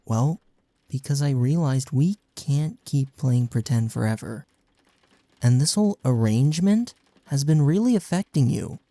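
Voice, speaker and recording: Man acting as mellow, gravelly young best friend. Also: medium pitch, animated, a little slow, no room sound. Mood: gentle, resigned. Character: imagined young male best friend, gentle, caring, frank. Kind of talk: intimate audio roleplay acting, comforting.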